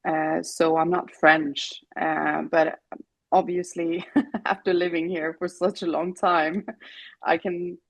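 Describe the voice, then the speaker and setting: A woman from Sweden, a singer-songwriter, chatting casually as an interview guest, relaxed and laughing often. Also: on the low side, slow, no room sound. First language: Greek and Finnish. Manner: relaxed, laughs often, many fillers and restarts.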